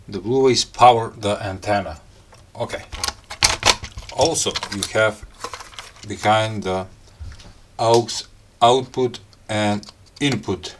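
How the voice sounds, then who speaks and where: A man with a slightly low voice walks through a product unboxing at a very slow pace. His delivery is casual and halting, broken into small pieces as if talking to himself. Some background noise sits behind the voice. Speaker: gadget reviewer, host.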